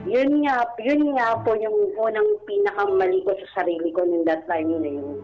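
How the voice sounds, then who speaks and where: A woman's voice: a little high, big pitch swings, normal speed. A Filipino woman calling in to a radio show, telling a personal story politely and a little hesitantly. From Philippines. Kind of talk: personal story told in conversation. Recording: dull call audio, faint music behind.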